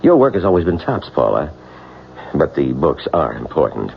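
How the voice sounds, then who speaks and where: A kindly, reassuring boss in a vintage radio play. His low, gravelly voice moves expressively, heard on a muffled recording. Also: huge pitch swings, full character voice. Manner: reassuring, measured, slightly halting. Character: kindly, concerned male office boss. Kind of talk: old radio drama acting. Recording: muffled old radio recording, faint noise.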